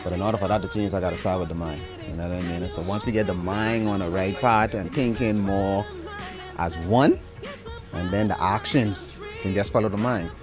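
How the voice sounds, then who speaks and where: A man's voice: low-pitched, a little fast, music in the background. A man with a Bahamian accent speaking in a street interview, preachy and repeating "you know what I mean".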